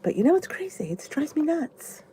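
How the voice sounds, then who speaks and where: Congested woman with a lively, animated voice. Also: high voice, moderate pace. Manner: chatty and rambling, thinks aloud. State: congested, stuffy nose. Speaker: vlogger. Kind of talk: casual live chat answering viewer comments.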